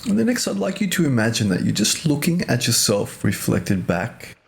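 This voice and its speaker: A man leading a guided meditation as a teacher, speaking gently and unhurriedly in short phrases with pauses. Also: mid-range voice, big pitch swings, gravelly.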